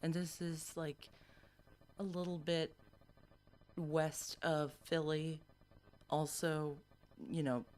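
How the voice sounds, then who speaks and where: A woman's voice: low-pitched, level tone, takes it slowly, long pauses, a little mellow. An American woman chatting casually and unscripted as a livestream commentator, in a hesitant, rambling way. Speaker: livestream host commenting on police scanner.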